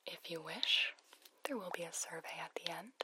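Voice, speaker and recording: A woman whispers breathily through a phone voice effect, gently guiding a relaxation ASMR roleplay as a soothing sleep hotline specialist. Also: lowish voice, very noisy. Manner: slow, soothing, gently instructive. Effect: phone voice filter.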